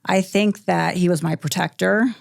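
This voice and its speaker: A middle-aged woman, a company president, telling stories from her childhood in a relaxed, thoughtful interview with many pauses. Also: fairly low pitch, gravelly, no echo, studio quality. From born in Iceland, military family. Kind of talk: podcast interview, reflective storytelling.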